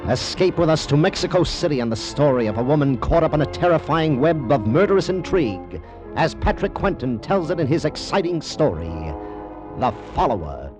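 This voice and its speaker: A man's voice: fairly high pitch, big pitch swings, fairly quick, rough recording. A man narrating a scripted promo in dramatic 1940s radio style. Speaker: radio announcer. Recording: old radio recording, loud music.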